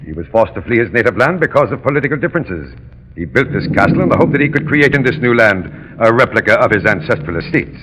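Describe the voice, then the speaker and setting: A man's voice: medium pitch, expressive, quick pace, close to an everyday voice. A man playing a courtly, ominous uncle of a castle, formal and theatrical, in 1930s radio drama style. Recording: noisy, reverberant 1930s radio recording.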